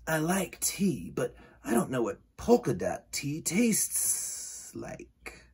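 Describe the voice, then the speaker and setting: A man voicing a mild, puzzled male rattlesnake in a children's storybook, speaking quietly and hesitantly. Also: fairly high pitch, huge pitch swings, slow pace, husky, exaggerated voice.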